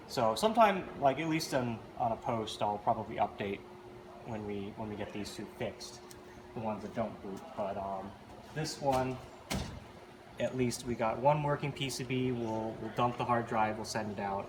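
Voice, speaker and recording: A man's voice: on the low side, normal speed, background noise. A man giving casual, unscripted hands-on commentary, rambling a little as he thinks aloud while presenting as a hobbyist. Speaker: arcade machine hobbyist presenter.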